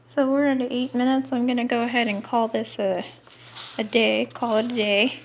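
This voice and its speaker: A woman reading a book aloud unrehearsed, halting here and there and slipping into casual asides. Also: fairly high pitch, flat delivery, a little hiss or hum.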